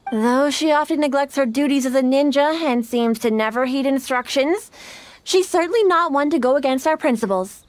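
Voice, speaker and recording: A woman's voice: high voice, no room sound, natural voice. A woman voicing a polite, dutiful young shrine maiden who speaks formally. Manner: formal, courteous, measured. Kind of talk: game voice acting.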